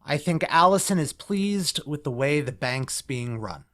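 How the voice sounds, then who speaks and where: A man playing a principled, quietly firm community banker in a musical table read, speaking earnestly. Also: fairly high pitch, lively intonation, measured pace.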